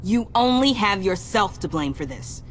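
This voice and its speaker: A woman's voice: medium pitch, animated, moderate pace, a little hiss or hum, lightly acted. An actress playing a hurt, earnest young woman in a video game drama, speaking with open emotion. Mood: hurt, accusing. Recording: music behind the voice.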